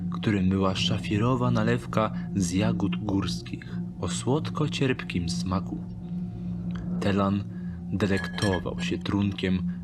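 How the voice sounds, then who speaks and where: A man narrating a dark fantasy thriller audiobook in a measured, deliberate storytelling manner. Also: lowish voice. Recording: strong ambient sound behind the voice.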